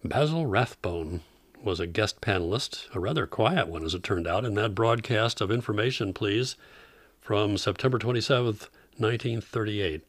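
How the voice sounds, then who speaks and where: Male radio program host: low, measured, scripted introductions, very dry acoustic. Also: fairly bright tone, no echo.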